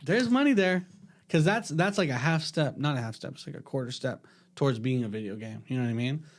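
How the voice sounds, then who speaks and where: A man's voice: mid-range voice, fairly quick. A man co-hosting a podcast in casual, slangy banter with friends. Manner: informal, says dude and bro often. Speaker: podcast co-host.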